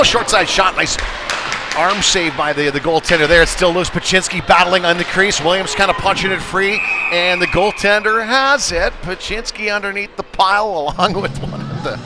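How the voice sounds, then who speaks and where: A man's voice: on the high side, background noise. A man calling a live ice hockey game in an informal, conversational play-by-play style. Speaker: play-by-play sports commentator. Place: sports rink during a live game. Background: loud arena music.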